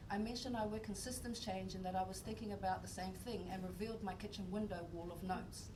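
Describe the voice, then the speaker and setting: A woman's voice: medium pitch, fairly even intonation, fast talker. Earnest woman reading a prepared speech. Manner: earnest, emphatic. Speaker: speaker, singer-songwriter. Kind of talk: prepared speech read aloud. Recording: distant mic in a noisy room.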